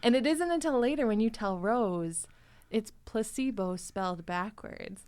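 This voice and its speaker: A woman hosting a podcast, chatting casually and with animated enthusiasm about a show. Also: fairly high pitch, measured pace, smooth. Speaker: podcast host.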